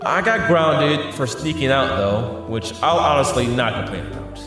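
A man's voice: medium pitch, animated, normal speed, close to an everyday voice. Guy playing young superhero in an audio drama, anxious n breathless, super echoey, music behind, voice swings a lot. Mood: somber narration. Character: anxious, battered young male superhero narrating his ordeal. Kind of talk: dramatic audio drama acting and first-person narration.

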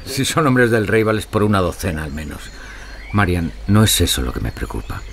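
An actor playing a noble, roguish outlaw leader in an audio drama. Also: male voice, low voice, sing-song, fairly quick, vocal fry, a bit bright, slight background noise, exaggerated voice. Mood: earnest, protective. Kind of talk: Spanish audio drama acting.

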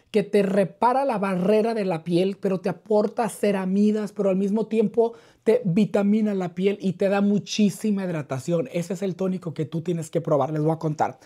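A slightly hoarse man with a mellow voice talks to camera as a beauty vlogger. He is playful and chummy with his viewers, chatting in an animated way in a furnished room. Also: high voice. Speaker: skincare video host. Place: furnished living room indoors. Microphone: clip-on lapel mic.

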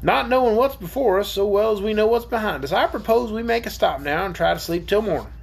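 An old sailor man from a storybook, played by a reader. He talks in a folksy, deliberate way with a slightly husky edge. He is kindly but cautious and a bit doleful. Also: mid-range voice, voice pushed up. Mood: weary. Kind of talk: acted storybook character.

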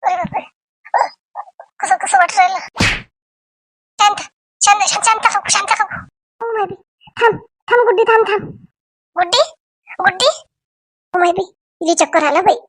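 A woman acting as an excitable village auntie from a comedy cartoon, with a high voice and a Varhadi Marathi accent. She fusses and exclaims, often repeating words, in a dry close recording. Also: normal speed, pauses often, no echo. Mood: alarmed, fussing. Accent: Varhadi Marathi from Vidarbha. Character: Shantabai, excitable, fussing rural Marathi auntie. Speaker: cartoon channel's main character and presenter. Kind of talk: homemade comedy cartoon dialogue.

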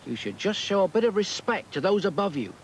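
A man's voice: high voice, sing-song, fairly quick, a little hiss or hum, rough recording. A man playing a preachy medieval character who scolds and moralizes, recorded outdoors. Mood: lecturing. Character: stern preachy medieval man, lecturing on order. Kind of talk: educational drama acting. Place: outdoors under trees.

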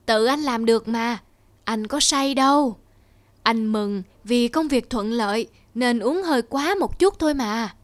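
Earnest, devoted cartoon husband. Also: male voice, very high-pitched, measured pace, no room sound. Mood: tipsy, apologetic. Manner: earnest, expressive. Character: devoted, hard-working husband, earnest and emotional. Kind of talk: cartoon drama acting.

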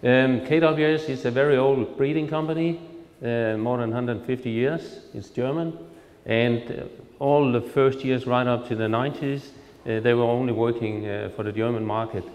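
A man in his fifties with a Danish accent, speaking English as a second language, gives a presentation to farmers into a handheld mic, pausing often with filler sounds as he searches for words. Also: mid-range voice, steady tone, darkish tone. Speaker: seed company product manager. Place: large hall with projector screen. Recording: long reverb from a large hall.

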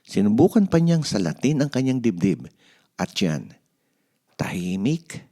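A man's voice: fairly low pitch, very animated, a little slow, mellow. A man narrating a scripted children's story as a read-aloud.

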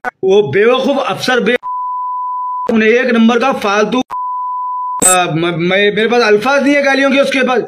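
A man in his fifties speaks with a Hyderabadi accent in a very high-pitched voice. He delivers an agitated statement to camera, scolding and repeating himself as he rants. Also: fairly bright tone. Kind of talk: angry rant to camera. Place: small room indoors.

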